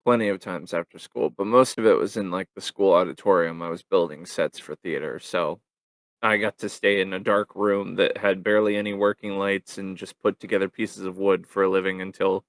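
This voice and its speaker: A man's voice: deep voice, fairly even intonation, brisk, no echo. A young man hosting a casual podcast, rambling with lots of ums and easy laughter. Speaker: podcast host.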